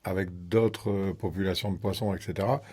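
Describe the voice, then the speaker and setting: Middle-aged southern French man, deep voice, halting and dry-humoured guest. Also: steady tone, a little mellow, breathy edge. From southern France. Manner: hesitant, many fillers, wry. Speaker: guest angler. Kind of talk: casual interview.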